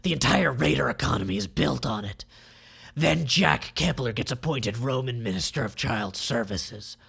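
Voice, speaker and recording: Man acting gravelly, angry villain tycoon. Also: medium pitch, moderate pace, exaggerated voice. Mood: angry ranting. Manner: forceful, menacing, dramatic. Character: angry, vengeful male villain, ex child-labor tycoon.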